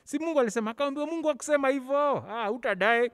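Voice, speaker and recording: Kenyan male children's Sunday school teacher, high voice, theatrical storyteller, handheld mic in a very reverberant church hall. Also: very fast, a bit bright, smooth. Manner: theatrical, acting out dialogue with stammered repetitions; code-switches casually between languages. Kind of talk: animated Bible storytelling for children. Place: large church hall, on stage. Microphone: handheld microphone, held close over face mask.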